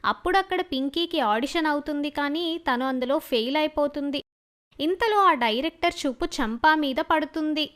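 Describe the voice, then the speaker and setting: A woman's voice: high voice, normal speed, a bit bright. A woman reading a scripted story as its narrator.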